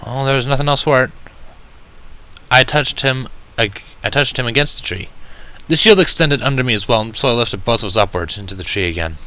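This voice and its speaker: A man narrating a first-person story in an audiobook style, reading in a measured, wry manner. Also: medium pitch, sharp tone, rough recording. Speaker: fan fiction reader.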